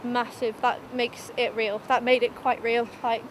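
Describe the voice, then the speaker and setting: A woman's voice: a little high, level tone, unhurried, background noise. A 19-year-old woman giving a candid documentary interview, informal and a little hesitant, as if thinking aloud. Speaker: young mother being interviewed.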